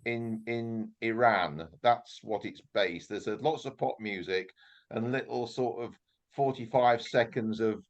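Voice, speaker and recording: A man's voice: mid-range voice, unhurried. An older British man, a retired chief engineer, dropping dry remarks and corrections into a club talk. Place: club meeting room.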